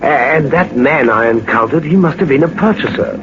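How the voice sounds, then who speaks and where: An actor playing a loyal, anxious manservant sidekick in a 1940s radio drama, polite, earnest and deferential. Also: male voice, fairly high pitch, lively intonation, a little fast. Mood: earnest surprise. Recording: muffled, noisy 1940s radio recording.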